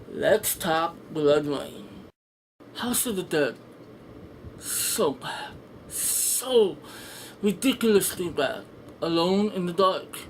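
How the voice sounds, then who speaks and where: A casual video reviewer with a mid-range voice rambles through strong opinions. The delivery is blunt, sarcastic and sweary. Faint room noise and ambience sit behind the voice. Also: mid-range voice. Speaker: film reviewer, video host. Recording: noisy home room with background ambience.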